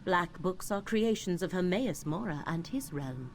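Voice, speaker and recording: A woman playing a solemn, cryptic seer in a video game, speaking in a measured, formal and earnest way. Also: on the low side, lively intonation, slight background noise. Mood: explanatory, solemn. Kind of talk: video game character acting.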